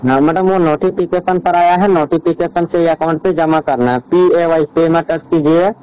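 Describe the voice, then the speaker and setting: A man's voice: a little high, fairly even intonation, moderate pace, warm tone, clear, resonant tone. A man on a recorded phone call, giving step-by-step instructions in an insistent, repetitive, directive way. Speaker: phone caller.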